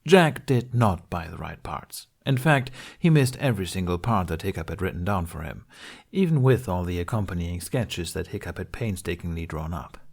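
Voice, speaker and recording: A man's voice: low-pitched, lively intonation, moderate pace, gravelly, darkish tone. A man reading scripted fiction aloud as an amateur audiobook narrator.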